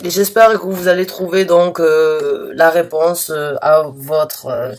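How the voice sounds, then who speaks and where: A slightly hoarse woman giving a spontaneous tarot reading to her viewers, halting and restarting often, with earnest, reassuring advice. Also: low-pitched, fairly even intonation, slight background noise. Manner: hesitant, halting with many restarts and fillers. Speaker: tarot reader.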